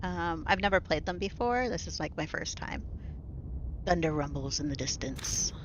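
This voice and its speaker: A woman's voice: deep voice, expressive, moderate pace, dull audio, background noise. A woman streamer reading a story game aloud into a desk microphone at home, chatting casually with lots of "like" and "um" fillers. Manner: casual, soft-spoken, full of "like" and "um" fillers.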